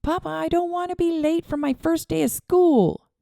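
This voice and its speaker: A woman's voice: very high-pitched, normal speed, vocal fry, dry recording, studio quality, pitched above the performer's own voice. A woman doing a gleeful little boy. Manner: gleeful, bouncing with excitement. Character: excited little boy, eager for school.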